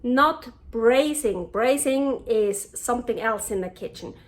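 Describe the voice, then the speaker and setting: A woman with a Swiss German accent talks to herself while she cooks, her voice high and her pace unhurried. She chats and corrects her own words as she goes. Her kitchen sounds echoey, and the mic picks her up from a distance. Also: animated. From Switzerland. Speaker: cooking channel host. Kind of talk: casual cooking vlog. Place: home kitchen.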